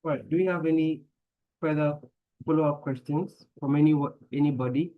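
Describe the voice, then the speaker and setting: Male webinar moderator, measured, dull call audio. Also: a little high, fairly even intonation. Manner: measured, urging participants to ask questions. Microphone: video call.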